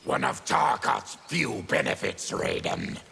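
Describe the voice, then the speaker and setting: An actor playing an afflicted, embittered mutant warrior in a video game, with a gravelly growl and grim, deliberate, menacing delivery. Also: male voice, low voice, huge pitch swings, sharp tone, full character voice. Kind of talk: video game character dialogue. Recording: clear background noise with clicks.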